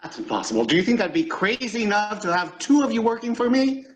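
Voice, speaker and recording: An actor plays a put-upon male office boss in old-time radio style. His high-pitched voice is fussy, sarcastic and dry. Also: big pitch swings, quick pace, muffled recording. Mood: exasperated.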